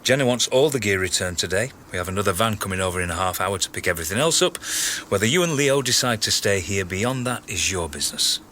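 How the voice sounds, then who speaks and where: A man's voice: lowish voice, animated, fast talker, few pauses, pushed and bright, slight background noise. An actor playing a pragmatic, weary and exasperated male scientist in a radio drama. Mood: firm, weary. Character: exasperated, pragmatic male colleague on research team.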